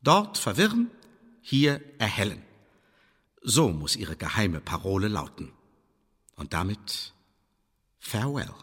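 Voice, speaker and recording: An actor playing a shrewd Elizabethan spymaster in a radio play, instructing an agent in a formal, emphatic manner. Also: male voice, on the low side, very animated, slow pace, long pauses, fairly bright tone, slightly rough, full character voice. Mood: instructive, emphatic. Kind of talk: German radio play acting. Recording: long reverberant hall echo.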